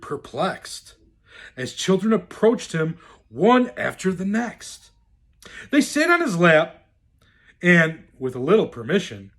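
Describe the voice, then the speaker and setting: A man reading a rhyming children's storybook aloud in a theatrical, deliberate, expressive way. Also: a little high. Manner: theatrical, deliberate, swooping delivery. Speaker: storyteller in the role of Santa.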